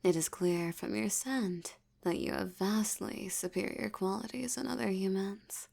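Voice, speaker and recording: A woman voicing a dominant, aristocratic vampire elder in an intimate audio roleplay, teasing and menacing, with a breathy voice that often drops toward a whisper. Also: mid-range voice, steady tone, darkish tone. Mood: haughty, predatory. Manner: slow, teasing, menacing, with lingering pauses.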